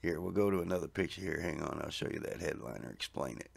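A middle-aged man talks in a folksy, easygoing way, chuckling at his own jokes. His voice sits low with a gravelly rasp. The recording is dry and close. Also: lively intonation, fast, dry recording. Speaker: garage video host. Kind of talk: casual narration over photos.

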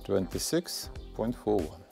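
A man's voice: on the low side, very animated, measured pace, vocal fry, darkish tone, faint music behind. A man in his forties showing and testing a battery in a friendly, casual way, talking to viewers outdoors on a lapel mic. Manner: friendly, addresses viewers as guys, non-native phrasing. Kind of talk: scripted voice-over intro, then casual on-camera chat. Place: outdoors on a stone barn patio. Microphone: clip-on lapel mic.

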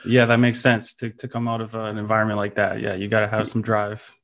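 A man in his thirties from Canada hosting a podcast, asking easygoing, halting questions full of fillers. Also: on the low side, monotone, creaky, a bit bright. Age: 30s. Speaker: podcast host. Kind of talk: casual interview hosting. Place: home room, over a video call.

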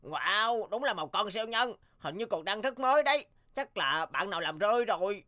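A smug, selfish big schoolboy from a cartoon. The voice is cocky and taunting, at a middling pitch. Music is clearly heard behind it. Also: female voice, expressive, normal speed, bright, forceful tone. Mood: chatty. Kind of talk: animated cartoon dialogue. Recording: background music under dialogue.

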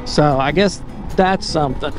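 Man, higher voice, casual rambling motorcycle vlog, music behind. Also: a little slow. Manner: rambling, self-amused, thinking aloud. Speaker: motorcycle vlogger. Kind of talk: casual ride commentary. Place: on a motorcycle on mountain roads.

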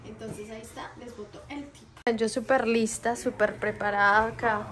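A woman's voice: fairly high pitch, steady tone, moderate pace, a bit bright. A young woman with a Colombian Spanish accent chats casually as a home vlogger, affectionate and fond of diminutives. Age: young adult. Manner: affectionate, uses many diminutives. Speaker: vlogger and mother. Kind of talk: casual home vlog chat. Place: indoors in an apartment.

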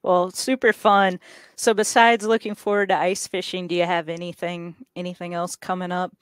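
A woman in her forties hosting a podcast, asking questions in a relaxed, encouraging way through a headset mic. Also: fairly low pitch, normal speed, no echo. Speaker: podcast host. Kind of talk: casual interview. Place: indoor room.

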